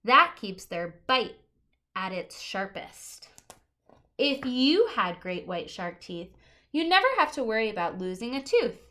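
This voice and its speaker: A woman's voice: a little high, big pitch swings, a little slow, bright, forceful tone. A woman teacher reading a children's nonfiction picture book aloud, expressively stressing key words and adding short explanatory asides.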